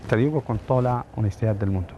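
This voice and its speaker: A man's voice: mid-range voice, fast, dark tone, lo-fi sound. Earnest man, noisy street behind. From Palestine. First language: Arabic. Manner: earnest, emphatic, repetitive phrasing. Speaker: immigrant interviewee. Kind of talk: heartfelt interview testimony.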